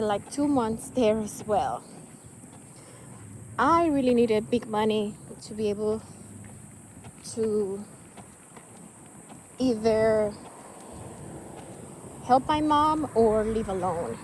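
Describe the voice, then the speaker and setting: A woman's voice: a little high, noisy background. A young woman from Cuba, talking candidly to camera about her life, rambling with many fillers. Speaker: vlogger. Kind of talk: personal talk to camera.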